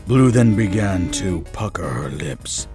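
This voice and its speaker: Male narrator for a cartoon fan drama, lively, varied delivery, music underneath. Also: sits low, a little slow. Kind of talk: scripted story narration for an animated fan series.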